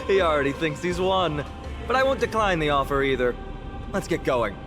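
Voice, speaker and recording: Playful boastful game warrior, higher male voice, animated, teasing, theatrical, music behind. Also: a little slow. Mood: amused. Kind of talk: video game voice acting.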